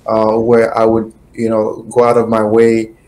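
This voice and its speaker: Middle-aged man, low voice, very slow halting delivery with lots of uh's, webcam call from a home office. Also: monotone, darkish tone, a little hiss or hum, poor audio. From Haiti. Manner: slow, halting, many fillers. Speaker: guest, attorney. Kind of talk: reflective interview answers. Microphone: webcam video call.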